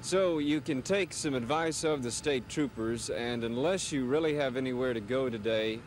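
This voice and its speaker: Male field reporter, mid-range voice, measured news delivery, slight outdoor noise. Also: medium pitch. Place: outdoors beside a highway. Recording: noisy outdoor field recording.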